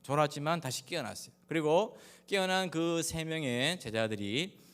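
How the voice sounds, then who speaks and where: A man from Daegu with a Gyeongsang Korean accent, preaching a sermon as a pastor in a measured, halting way with frequent pauses. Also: on the high side, fairly bright tone, smooth. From Daegu, South Korea. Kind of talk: sermon with personal anecdotes. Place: large reverberant church hall. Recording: echoey hall with long reverb.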